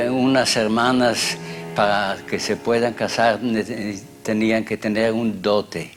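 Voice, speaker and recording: An older immigrant man interviewed for a documentary, speaking haltingly with restarts. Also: lowish voice. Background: violin music.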